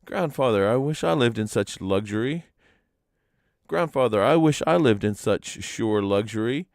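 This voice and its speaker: Man voicing a young eager rabbit, raised pitch. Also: animated, normal speed, gravelly, no room sound, clean studio sound. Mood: wistful, eager. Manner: sighing, pleading. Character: young eager rabbit, boastful then woeful.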